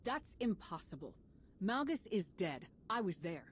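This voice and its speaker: A woman's voice: medium pitch, big pitch swings, unhurried, a little hiss or hum. An actress playing a resolute, commanding female hero in a video game, firm and decisive. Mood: grave. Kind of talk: video game dialogue acting.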